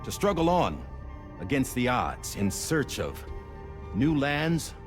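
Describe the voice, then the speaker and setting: A man playing a solemn, idealistic leader, speaking in a deliberate, oratorical way in a cinematic game. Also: mid-range voice, sing-song. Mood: solemn, hopeful. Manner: deliberate, oratorical, with weighty pauses. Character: solemn, idealistic male leader, measured and sincere. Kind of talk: dramatic cinematic game dialogue, speechmaking. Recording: music behind the voice.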